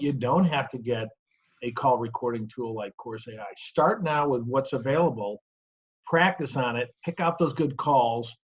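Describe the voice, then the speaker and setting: A man's voice: medium pitch, highs cut off. A middle-aged man hosting a conversational interview, speaking in short phrases and sharing personal examples. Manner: breaks points into short phrases, uses personal anecdotes. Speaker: interview host.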